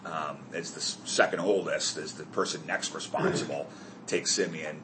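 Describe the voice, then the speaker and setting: A man's voice: a bass voice, fairly even intonation, normal speed, heavy background noise. A man leading a group Bible study discussion, asking the group questions and correcting himself as he goes.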